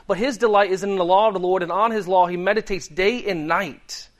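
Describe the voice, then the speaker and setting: A man in his thirties from Delaware with a Boston accent, preaching a sermon in an earnest, conversational way with frequent ums. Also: sits high. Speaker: guest preacher.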